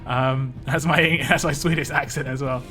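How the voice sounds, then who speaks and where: A man's voice: mid-range voice, fairly even intonation, moderate pace, pushed and bright. A man chatting casually on a live stream while he plays a game, rambling and answering chat. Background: game music.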